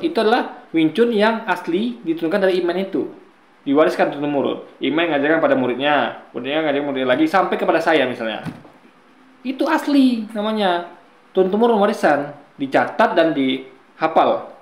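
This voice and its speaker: A man with a fairly high voice lectures his viewers in an argumentative commentary, often calling them guys. His voice rings in an echoey room. He explains his points at length, like a teacher. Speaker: commentator responding to a video.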